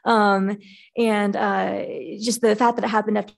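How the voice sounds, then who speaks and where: A woman panelist speaks earnestly about working as an engineer, her voice sitting at a middle pitch with a gravelly, fry-tinged texture. She talks in a candid, unscripted way, leaning on fillers like "um" and "uh.". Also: little pitch movement, a little slow. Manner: earnest, frequent fillers, light laughs.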